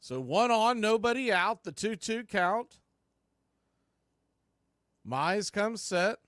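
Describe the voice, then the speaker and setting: High-voiced man calling baseball play-by-play. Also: expressive, slow, a bit bright, no echo, studio quality. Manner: punchy clipped calls, swelling with the action. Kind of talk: live radio-style play-by-play commentary.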